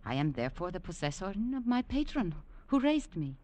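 A woman's voice: fairly low pitch, big pitch swings, moderate pace, a little mellow, dry recording. An actress playing an earnest young woman servant in a 1950s radio drama. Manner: earnest and pleading. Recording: old radio broadcast.

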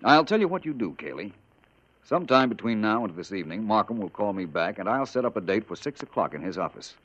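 An actor playing a shrewd, self-assured detective with an American accent, speaking in a measured, dry way in 1950s radio drama style. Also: male voice, on the low side, sing-song, fairly bright tone, no echo. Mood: cool, commanding. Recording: muffled old radio drama recording.

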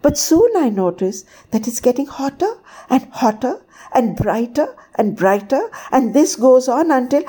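A tiny, timid boy sparrow acted out by a woman in a storybook. The voice is very high and squeaky, with lively swoops of pitch. He pleads and repeats himself in a frightened fluster. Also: a little slow, heavily acted. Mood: awed, alarmed. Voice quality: squeaky, chirruping. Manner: breathless repetition, stammering pleas.

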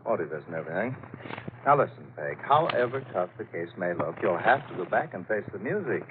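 An actor playing a steady, protective American man in a 1940s radio mystery, reassuring yet firm, with clipped, practical lines. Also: sits low, brisk. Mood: calm, persuasive. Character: steady, protective, sensible man soothing a frightened woman. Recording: tinny, faintly noisy 1940s radio broadcast.

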